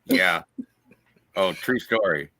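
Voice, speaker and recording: A man's voice: low-pitched, animated, slow, sharp tone, no room sound. A man on a review panel, chatting casually with a skeptical, wry manner. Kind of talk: casual panel banter and discussion.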